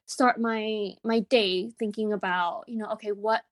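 A woman whose first language is Chinese, talking casually as an interview guest, relaxed, with fillers and frequent laughs. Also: mid-range voice, a little slow, dry recording, studio quality. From China. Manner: easygoing, many fillers, laughs often. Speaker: guest, business co-founder.

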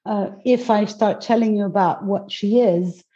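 A woman's voice: mid-range voice, normal speed, highs cut off. A woman from Greece, whose first language is not English, chatting as an interview guest about her work, often restarting and laughing as she finds her words. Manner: warm, searching for words, frequent restarts and laughs. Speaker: guest, goddess teacher and coach. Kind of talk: casual interview chat.

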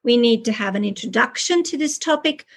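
A woman's voice: a little high, animated, a little fast, breathy edge. A woman author and early childhood educator, answering podcast interview questions with earnest, passionate storytelling.

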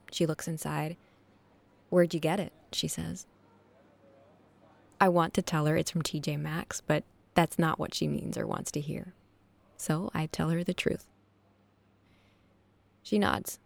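A woman's voice: lowish voice, vocal fry, a little mellow. An actress playing a sardonic, streetwise gambler who tells her own story in an audio drama, wry, candid and sweary. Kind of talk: first-person audio drama narration and dialogue.

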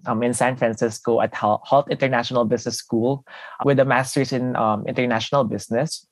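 A man's voice: mid-range voice, dry recording. A young man from the Philippines, a podcast guest telling his story in an earnest way and laughing often. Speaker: podcast guest, finance analyst. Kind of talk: casual interview storytelling.

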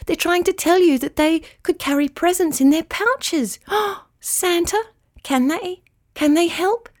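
A woman voicing an eager, excited young girl in a children's storybook, pleading breathlessly. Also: extremely high pitch, takes it slowly, voice pushed up.

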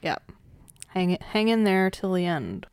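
A woman's voice: on the low side, sing-song, unhurried, a little mellow, clear, resonant tone, no room sound. A woman co-hosting a true-crime podcast, playful and chatty, who leads the case narration. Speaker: podcast co-host. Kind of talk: casual true-crime chat.

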